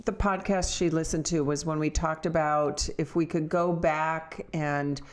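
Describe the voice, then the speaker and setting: A woman co-hosting a podcast in casual, unscripted chat, reflective and halting as she searches for words. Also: sits low, fairly even intonation. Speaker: podcast co-host.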